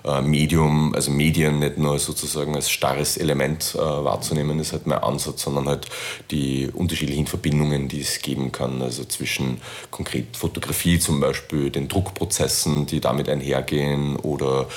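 An Austrian man talking casually as an interview guest, halting often as he looks for his words. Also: a bass voice, flat delivery. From born in Istanbul, grew up in Linz, Austria. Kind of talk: casual conversational interview talk.

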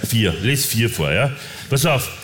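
A man's voice: medium pitch, animated, unhurried, a bit bright, faint music behind. A man with an Upper Austrian accent co-hosting a talk show, playfully reading out anecdotes. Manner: playful, reads anecdotes aloud with gusto. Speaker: co-host. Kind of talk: casual talk show banter.

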